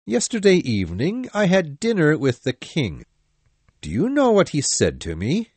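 A man playing a stern, proud, hot-tempered older captain of musketeers in an audiobook, with a forceful, commanding manner. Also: mid-range voice, big pitch swings, a little slow, no room sound. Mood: angry. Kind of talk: audiobook dialogue acting.

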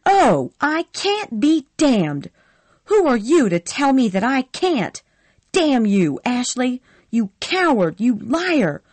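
A woman voicing a hot-tempered young woman who lashes out in sharp, angry bursts. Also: medium pitch, animated, moderate pace, few pauses, husky, dry recording, pitched above the performer's own voice. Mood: furious. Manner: sharp, furious, shouting. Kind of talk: audiobook character reading.

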